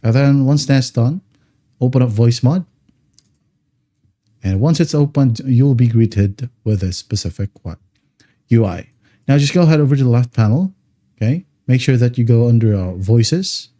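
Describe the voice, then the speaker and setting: A man hosting a casual step-by-step software tutorial, often checking in with short tags like okay and right. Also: mid-range voice, lively intonation, normal speed, pauses often. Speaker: tutorial host.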